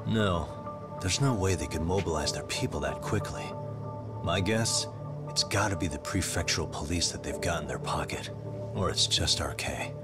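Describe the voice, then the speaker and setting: An actor playing a cool, shrewd investigator in a dubbed video game, confident, dry and probing. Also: male voice, sits low, normal speed. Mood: analytical. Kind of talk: English dub video game cutscene acting. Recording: loud music over the voice.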